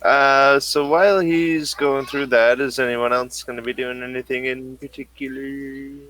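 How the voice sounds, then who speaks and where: A man running a tabletop role-playing game, describing scenes slowly with lots of fillers. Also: medium pitch, fairly even intonation, a bit bright, poor audio. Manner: halting, with many fillers. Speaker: game master.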